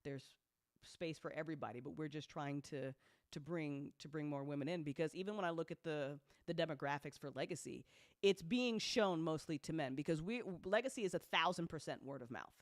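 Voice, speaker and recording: A woman's voice: low voice, fairly quick, no room sound, studio quality. A woman hosting a casual update talk as an audio drama producer, speaking bluntly and informally with playful slang and free swearing.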